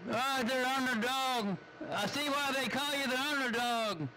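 A man's voice: very high-pitched, normal speed, pushed and bright, slight background noise, poor audio. A man chatting casually over CB radio in a folksy, teasing, slangy way. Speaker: CB radio operator.

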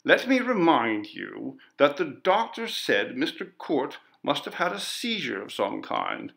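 A man's voice: a little high, sing-song, unhurried, vocal fry, heavily acted. A man voicing a pompous male coroner who sharply questions a witness in a read-aloud ghost story. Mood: stern. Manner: sharp, lecturing, indignant.

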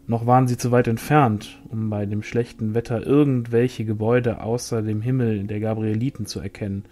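A mellow male voice of middling pitch reads a fantasy novel aloud. His delivery is measured, like a storyteller's, and faint music plays behind him. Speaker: audiobook reader. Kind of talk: scripted narration of a fantasy novel.

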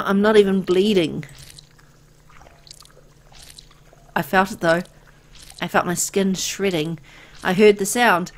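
A woman voicing an anxious, disoriented amnesiac young woman who narrates a horror visual novel in a dramatic, deliberate way. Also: mid-range voice, fairly bright tone. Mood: tense, determined.

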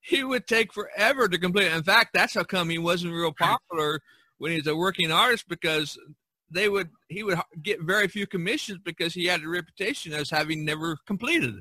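A man's voice: sits high, a little fast, bright, forceful tone, no room sound, low-quality recording. A man talking casually as a podcast host, telling stories in a rambling, discursive way. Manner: rambling, storytelling. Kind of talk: casual discussion of art history.

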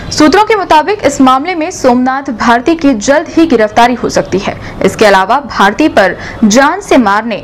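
Young woman, news anchor, high voice, formal scripted delivery, studio mic. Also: a little hiss or hum. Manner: formal, even newsreader delivery.

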